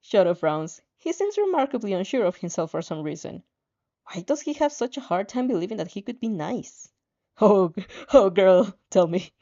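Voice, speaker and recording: A woman narrates fan fiction in an animated, swooping voice with a mellow tone. She drops in playful, casual reactions and sometimes trips over a word. The audio is dull and lo-fi. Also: medium pitch, normal speed. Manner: animated, playful asides, stumbles over words.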